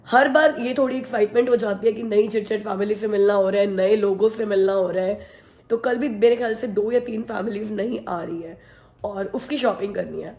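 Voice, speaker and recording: Husky, hoarse woman in an echoey room. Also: medium pitch, darkish tone. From India. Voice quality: naturally husky voice. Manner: chatty, laughs often. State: hoarse, strained throat today. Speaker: family vlogger. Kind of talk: casual chat to camera.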